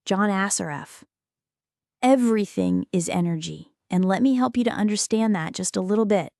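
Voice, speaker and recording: A woman narrates an audiobook at a medium pitch, with each phrase measured and clearly enunciated. The delivery is explanatory and scripted, and the sound is very dry, with no room around it. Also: very animated, darkish tone, no echo.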